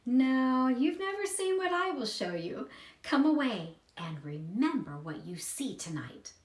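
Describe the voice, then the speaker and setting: Woman voicing a gentle, guiding male Christmas spirit. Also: high-pitched, very animated, measured pace, echoey room, distant mic, voice pushed up. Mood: gently insistent. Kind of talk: storybook character reading.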